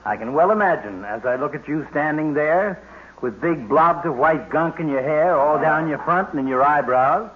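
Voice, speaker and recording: A man's voice: fairly high pitch, animated, moderate pace, phone-quality sound, close to an everyday voice. A man playing a sardonic, mocking doctor. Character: sardonic, insulting family doctor. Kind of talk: 1950s radio comedy acting. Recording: thin, hissy 1950s radio broadcast.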